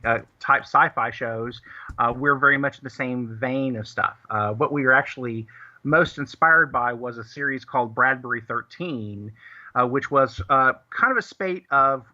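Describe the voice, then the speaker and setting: A man being interviewed on the radio as a guest, explaining things in a halting, casual way. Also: medium pitch, bright, forceful tone, lo-fi sound. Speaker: guest, audio drama producer and writer.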